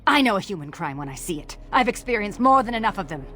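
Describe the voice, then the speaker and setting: A woman's voice: medium pitch, very animated, fast talker, bright, forceful tone, soft background music. An actress voicing a fierce, defiant, vengeful female elf in a fantasy video game, with intense delivery. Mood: furious, defiant. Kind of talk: video game character acting.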